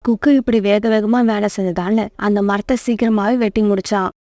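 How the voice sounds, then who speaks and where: A woman's voice: medium pitch, steady tone, fairly quick, mellow, no echo, clean studio sound. A woman narrating a scripted moral story for children.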